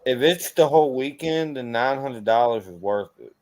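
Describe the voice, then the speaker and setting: A man talks casually as a channel host. His medium-pitched voice is smooth and clear, and it sounds dry with very little room around it. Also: expressive, normal speed, a little mellow, no room sound. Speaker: host of a paranormal investigation channel. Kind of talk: casual livestream chat.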